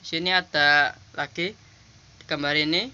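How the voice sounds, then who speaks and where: A young man with a cold speaks in a hoarse voice while he walks through his slides, halting and polite. His voice is bright and clear and sits a little high. It comes through a dull, lo-fi home recording. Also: fairly even intonation. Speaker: student presenter. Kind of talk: class presentation over slides. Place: small shop.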